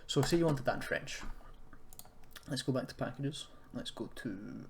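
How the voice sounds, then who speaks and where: A man giving a casual software tutorial, rambling and thinking aloud with self-deprecating asides. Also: mid-range voice, lively intonation, normal speed. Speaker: tutorial host. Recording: clearly noisy, keyboard clicks and typing. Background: background noise, computer keyboard and mouse clicks.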